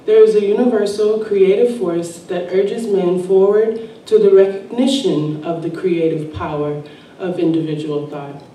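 A woman reading a spiritual text aloud at a church service, slow and measured. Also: on the low side, warm tone, a little hiss or hum. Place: church sanctuary. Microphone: distant room mic. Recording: echoey sanctuary from far off.

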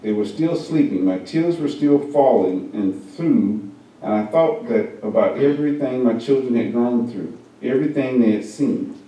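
A man reading a first-person story aloud at a church service, in a measured, unhurried way. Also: mid-range voice, expressive, warm tone. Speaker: service reader. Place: church meeting room. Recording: very echoey hall, mic far off.

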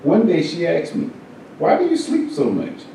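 A man reads a personal story aloud to a congregation at a steady, unhurried pace. His voice is deep and mellow, and he sits well back from the microphone. The hall around him rings with strong echo. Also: vocal fry, a little hiss or hum. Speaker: service reader. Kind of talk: reading a first-person story aloud at a church service. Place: church meeting room. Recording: very echoey hall, mic far off.

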